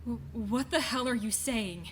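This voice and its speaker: A woman voicing an indignant teenage girl accused of murder in an animated mystery. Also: fairly high pitch, measured pace, slight background noise, close to an everyday voice.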